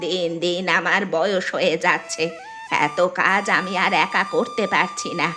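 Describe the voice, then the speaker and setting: A woman's voice: fairly low pitch, wildly swooping pitch, moderate pace, music in the background. A woman playing an ageing mother-in-law, bossy yet remorseful, in a plaintive cartoon style. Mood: remorseful pleading. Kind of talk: Bengali cartoon voice acting.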